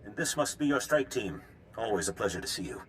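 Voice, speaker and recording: A man's voice: lowish voice, sing-song, brisk, creaky, fairly bright tone, a little hiss or hum, heavily acted, poor audio. A man playing a gracious, authoritative military leader in a video game. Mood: gracious, resolute. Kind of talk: video game character acting.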